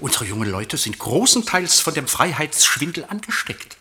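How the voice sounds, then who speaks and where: An actor playing a stern, disapproving seminary official in a radio drama, with a husky, sharp, officious, clipped delivery. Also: male voice, fairly high pitch, very animated, normal speed, far from the mic, a little hiss or hum, exaggerated voice. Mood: disapproving, indignant.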